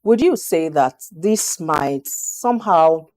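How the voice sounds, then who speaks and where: A female news anchor with a low, mellow voice. She poses structured questions and keeps the exchange moving with brief acknowledgments. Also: animated, takes it slowly. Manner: brief affirmations, formal questions. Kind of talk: studio interview.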